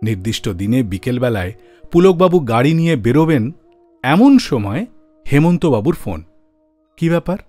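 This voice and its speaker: Guy hosting a radio show, really lively pitch swings, dry close studio mic, soft music under him. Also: normal speed, pauses often, no echo. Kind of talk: scripted radio storytelling about songs and singers. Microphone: close studio microphone. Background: faint music.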